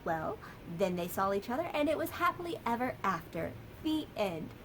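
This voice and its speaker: An American woman, a children's librarian, telling a story and sharing books for kids in a lively, sing-song way. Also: fairly high pitch, normal speed, slight background noise, poor audio.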